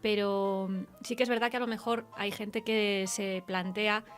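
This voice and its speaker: A woman from interviews a guest on an informal radio show. Her voice sits at a middle pitch, and she phrases things thoughtfully and conversationally. Some music can be heard with her. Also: little pitch movement, a little slow.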